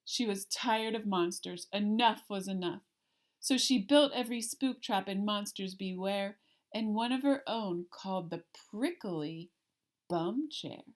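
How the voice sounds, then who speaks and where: A woman reading a children's picture book aloud like a teacher, with deliberate, expressive storytelling and emphasis on the rhymes. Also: mid-range voice. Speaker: elementary art teacher.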